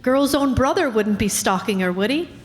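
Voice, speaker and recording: A woman's voice: a little high, lively intonation, normal speed, natural voice. A woman playing a defiant, scheming prisoner who shields her brother in an old-time radio drama, aggrieved and insistent. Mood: defensive. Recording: reverberant hall, faint background noise.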